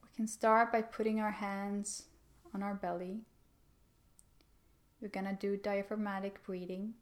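A woman guides a meditation in a gentle, calm voice. Her pitch sits in the middle and moves very little, giving an even, steady delivery. She gives simple instructions without hurry, leaving pauses between phrases.

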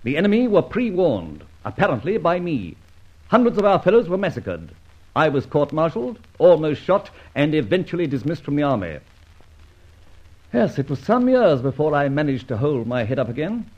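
An actor playing a suave, vengeful gentleman adventurer and ex-officer, speaking with theatrical, mocking irony in an old radio drama. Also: a little high, very animated, moderate pace. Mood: accusing, scornful. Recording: muffled old radio recording.

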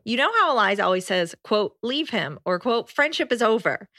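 A playful woman co-hosts a podcast and reads listener letters, laughing often. Her voice is bright and forceful and sits a bit high. The recording is dry and close. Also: expressive, a little fast, no echo. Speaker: podcast co-host. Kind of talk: casual podcast chat, reading listener letters.

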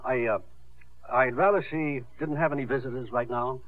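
An actor playing a calm, grave, formal surgeon in a 1950s radio drama, speaking deliberately. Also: male voice, medium pitch, no echo, natural voice. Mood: grave, reassuring. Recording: tinny, muffled 1950s radio recording.

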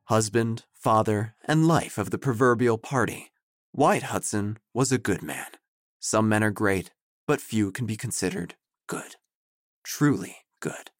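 An actor playing a wry, nosy small-town narrator, delivering formal, theatrical, deadpan comic audio drama narration over music. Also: male voice, lowish voice, no echo, studio quality, close to an everyday voice. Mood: deadpan, solemn.

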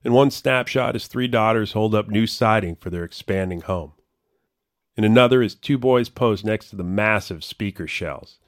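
A man's voice: on the low side, moderate pace, dry recording, clean studio sound. A man reading a scripted, narrative feature story as a reporter and narrator.

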